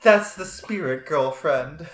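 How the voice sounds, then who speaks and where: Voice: medium pitch, lively intonation, slow, a little hiss or hum. An amateur reader playing an accusatory, scheming young woman in a dramatic story reading. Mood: accusing. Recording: roomy echo, faint music behind.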